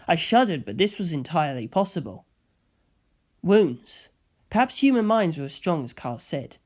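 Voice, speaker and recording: A male narrator with a fairly high voice reads a first-person story. The delivery is scripted audiobook storytelling. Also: darkish tone.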